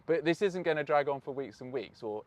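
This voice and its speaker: A man's voice: a little high, animated, brisk. A British man hosting, chatting casually and self-deprecatingly outdoors while waiting for a bus. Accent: British English. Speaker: host. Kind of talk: casual interview and vlog wrap-up.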